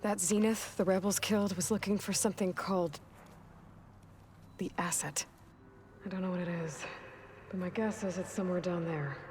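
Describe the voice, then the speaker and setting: A woman's voice: on the low side, fairly even intonation, normal speed, pauses often, a little mellow, a little hiss or hum, close to an everyday voice. An actress voicing a determined young woman hunter in a video game cutscene, speaking in a focused, decisive and analytical way.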